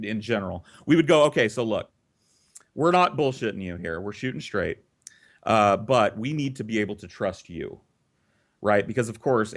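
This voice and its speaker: A man telling a story casually and comedically, swearing freely and acting out both sides of conversations. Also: fairly low pitch. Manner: profane, animated re-enacting of conversations. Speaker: storyteller and host.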